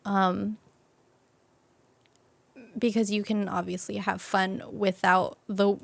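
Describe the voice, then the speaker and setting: A woman's voice: mid-range voice, flat delivery, takes it slowly, vocal fry, dry recording. A woman chatting casually as a podcast guest, informal and agreeable in the way she talks. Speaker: interview guest and newly introduced series host. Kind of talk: casual chat.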